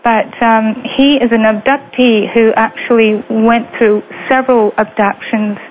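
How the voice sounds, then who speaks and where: A woman with a faded Lancashire accent, hosting a radio talk show and rambling warmly to fill dead air. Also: fairly high pitch, fairly even intonation, a little slow. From Lancashire, England. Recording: dull lo-fi radio audio with faint hiss.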